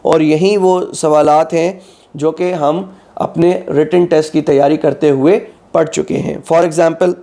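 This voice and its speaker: Guy teaching exam prep, bit of a sore throat, warm mellow tone, steady step by step. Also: on the high side, a little slow, gravelly. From Pakistan. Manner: methodical, repeats "ठीक है" often. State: slightly sore throat, clears it. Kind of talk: instructional lecture.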